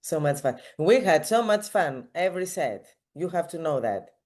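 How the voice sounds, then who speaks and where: A woman's voice: low-pitched, lively intonation, moderate pace, a little mellow. A woman hosting a casual interview in an encouraging manner, with non-native English phrasing. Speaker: interview host.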